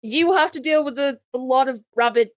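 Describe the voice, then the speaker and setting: A woman's voice: high-pitched, brisk, dry recording, clean studio sound. A woman co-hosting a casual fan podcast in a blunt, salty, informal way. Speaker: podcast co-host.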